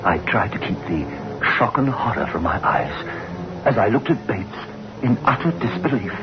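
A man's voice: lowish voice, very animated, normal speed. A man playing a frightened, guilt-ridden husband in an old radio horror drama, agitated, defensive and stammering. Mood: haunted, dread. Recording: noisy, muffled old radio recording.

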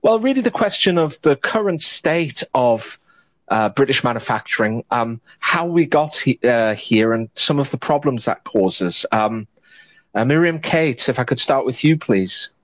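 Man, panel host, hesitant and measured, mid-pitched voice, dry sound. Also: expressive, a bit bright, no room sound. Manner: measured introductions with frequent filler hesitations. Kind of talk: hosting an online audio debate.

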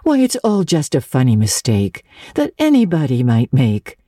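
A woman's voice: rumbling low, wildly swooping pitch, moderate pace, dark tone, no echo, studio quality, full character voice. A woman voicing a kind minister's wife who soothes and reassures a child in an audiobook. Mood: soothing.

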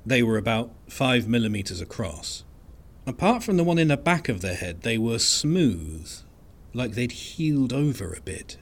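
A man playing an unassuming, wry and resigned archivist in an audio drama monologue, speaking in a dry, understated, conversational way with hesitant pauses. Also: mid-range voice, very animated, vocal fry. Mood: matter-of-fact, wry. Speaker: narrator of an audio fiction podcast.

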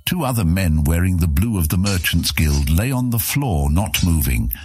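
A man narrating an epic fantasy audiobook in a dramatic, measured, suspenseful storytelling style. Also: deep voice, expressive, darkish tone, slight background noise.

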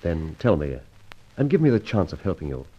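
A suave gentleman bandit of old radio drama, heard through a dull, lo-fi recording. His low voice swings freely as he gives courtly orders and assurances, tossing in bits of slang. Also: very animated, brisk. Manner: genteel, courtly, commanding, slangy. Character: suave male gentleman thief, masked, chivalrous adventurer.

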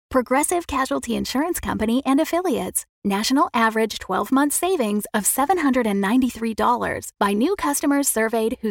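A woman rattles through an ad and its fine print in a brisk, matter-of-fact read. Her fairly high voice has a gravelly fry, and the sound is dry and close. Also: no echo. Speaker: ad announcer. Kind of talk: scripted insurance ad with fast disclaimer.

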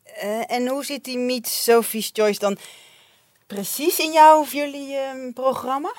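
A woman playing a sardonic, highbrow radio reporter in a radio comedy. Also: fairly even intonation, unhurried, darkish tone, no room sound. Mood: sceptical.

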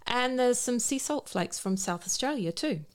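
A woman's voice: fairly high pitch, expressive, normal speed. A middle-aged woman co-hosting a podcast in a casual, conversational style. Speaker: podcast co-host.

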